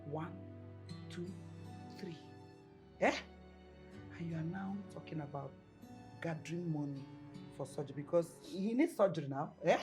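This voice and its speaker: A woman's voice: sits low, moderate pace, long pauses. A woman acting a bitter, impatient middle-aged mother in a film drama, with a Nigerian accent, scolding and repeating herself for emphasis. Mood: scornful. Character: bitter, impatient mother, callous toward her sick husband. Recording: music playing behind the dialogue.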